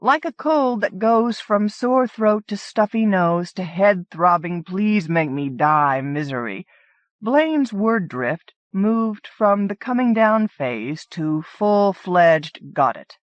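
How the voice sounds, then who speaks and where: A woman reading a story as an audiobook narrator, in an expressive storytelling manner. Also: mid-range voice, slow, dry recording, highs cut off. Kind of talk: scripted fiction narration.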